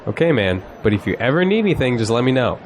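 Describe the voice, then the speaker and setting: A man acts as a cocky, upbeat young male graduate in a radio play. His pitch swings around in a lively way, with faint noise behind him. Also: quick pace, natural voice. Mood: confident. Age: young adult. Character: cocky, exuberant young male college graduate, polite to elders. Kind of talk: audio drama acting.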